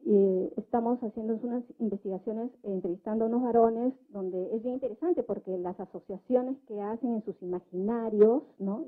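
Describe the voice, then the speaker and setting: Female panel speaker, slightly high pitch, phone-like sound, laying out points step by step with hesitations. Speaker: debate participant. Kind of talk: public debate remarks, explanatory. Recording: muffled narrow phone-like sound.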